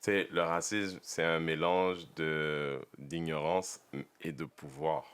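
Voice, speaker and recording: A man, speaking in a measured, deliberate way in a casual podcast discussion. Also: extremely low pitch, level tone, fairly bright tone, clear, resonant tone. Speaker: podcast guest.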